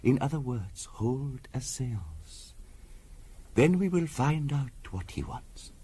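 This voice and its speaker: An actor playing an earnest, formal spiritualist medium in a 1970s radio drama, measured and insistent in delivery. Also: male voice, medium pitch, very animated, husky, heavily acted. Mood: stern, earnest. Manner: measured, formal, insistent, sometimes hushed and hypnotic.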